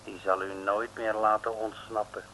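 An actor playing a sarcastic, curt prison guard in an old comic radio play. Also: male voice, fairly low pitch, little pitch movement, moderate pace, bright, forceful tone. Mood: smugly menacing. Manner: curt, mock-polite, threatening. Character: gruff sarcastic Italian male prison guard. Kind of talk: 1950s-60s comic radio play acting. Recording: noisy old radio recording.

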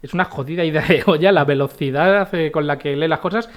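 A man's voice: a little high, quick pace. A man co-hosting a radio talk show, chatting in a rambling way about books he has read this year. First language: not Catalan. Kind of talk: casual radio chat reviewing books.

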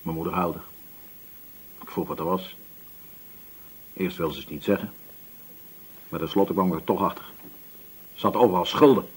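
A man's voice: low-pitched, normal speed, long pauses, husky, low-quality recording. An actor playing a desperate, hot-tempered young male murder suspect in a radio drama, emotional and pleading. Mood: downcast, confessing. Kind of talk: Dutch radio drama acting.

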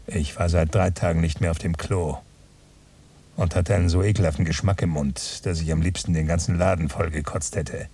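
An actor playing a weary gambler who tells of his night at the dice table in a terse, dry radio drama monologue, with a husky voice. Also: low-pitched, steady tone, normal speed, dark tone, recorded from a distance. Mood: exhausted. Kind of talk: radio drama narration, first-person monologue.

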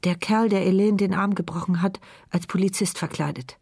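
An actress playing an anxious, sharp-minded woman in a radio play, in an intimate, questioning inner monologue. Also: mid-range voice, fairly even intonation, a little fast, creaky, slightly rough, no echo, studio quality. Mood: pensive.

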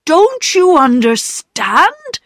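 A woman reading the part of a proud, stubborn poor working man and father, speaking slowly and loudly. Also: high-pitched, very animated, no echo, studio quality, pitched above the performer's own voice, full character voice. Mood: indignant.

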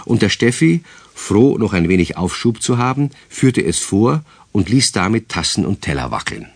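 A man's voice: fairly low pitch, very animated, moderate pace, creaky, breathy edge, dry recording. A man narrating a scripted radio play as its storyteller.

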